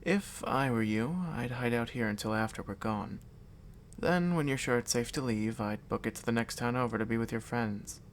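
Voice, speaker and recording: A man's voice: mid-range voice, natural voice. Man playing a composed vampire noble, noisy background. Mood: calm, warning. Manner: measured, composed, condescendingly reassuring. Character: calm, courteous, predatory male vampire noble. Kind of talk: ASMR audio roleplay addressed to the listener. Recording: audible background noise and ambience.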